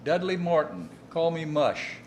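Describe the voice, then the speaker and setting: An actor playing an eager, confident naval officer, respectful and folksy, in a wartime drama. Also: male voice, on the high side, steady tone, measured pace, gravelly, darkish tone. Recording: faint background noise.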